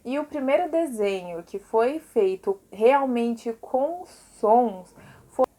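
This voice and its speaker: A woman's voice: a little high, expressive, slow, mellow. A Brazilian woman teacher giving an informal recorded lesson to pupils, chatty and explanatory, often adding little check-in tags.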